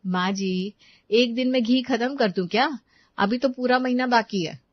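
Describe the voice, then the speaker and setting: A woman's voice: a little high, measured pace, dry recording, muffled recording. A woman voicing an exasperated, thrifty young housewife who explains and protests in a family moral story. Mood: protesting. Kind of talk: Hindi moral-story character dialogue.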